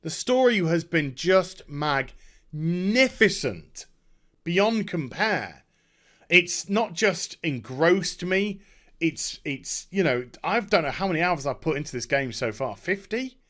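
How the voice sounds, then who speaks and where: A man with a fairly high voice that rises and falls a great deal talks unscripted as a gaming YouTuber. He is effusive and overwhelmed, repeating phrases and halting as he searches for words. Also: lively intonation, fairly bright tone. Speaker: video game YouTuber and streamer. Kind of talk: unscripted rambling game review chat.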